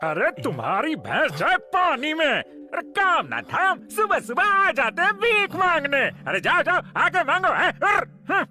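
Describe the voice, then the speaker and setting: A stingy, grumpy cartoon neighbor, voiced by a man scolds in a very high, piercing voice that swings up and down. He drags his words slowly and mocks everyone who comes to his door. Music plays softly behind him. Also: wildly swooping pitch, full character voice. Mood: scolding. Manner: gruff, scolding, mocking. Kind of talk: cartoon voice acting.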